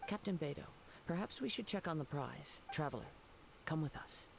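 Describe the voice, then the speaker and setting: A man voicing a serene, poetic young wandering swordsman in a video game, speaking in a measured, formal, gentle manner. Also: on the high side, expressive, vocal fry, noisy background. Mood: composed, resolute.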